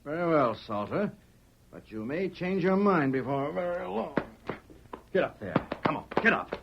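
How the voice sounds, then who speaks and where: An actor playing a greedy, domineering swindler in a 1940s radio western, calculating and bossy. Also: male voice, fairly high pitch, sing-song, normal speed, gravelly, slight background noise. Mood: angry, scheming. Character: scheming, greedy, bossy Eastern swindler villain. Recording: dull, muffled old radio recording.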